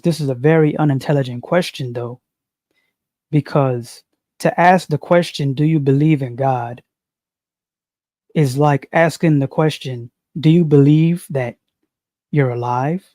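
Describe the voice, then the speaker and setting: A man giving an unscripted spiritual monologue, slow and preachy, repeating phrases and asking "you see". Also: on the high side, warm tone, dry recording. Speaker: spiritual commentator.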